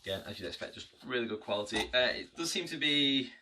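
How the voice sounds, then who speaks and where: A man's voice: mid-range voice, animated, fast, distant mic, noisy background. A man giving a casual unboxing review of tabletop miniatures, chatty and hesitant, with many ums and restarts.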